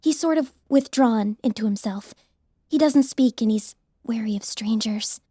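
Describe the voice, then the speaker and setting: A woman's voice: on the high side, a little slow, warm tone, husky, dry recording, clean studio sound. A woman playing a friendly, optimistic young college student in an audiobook drama, chatty and earnest. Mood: hushed concern. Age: young adult.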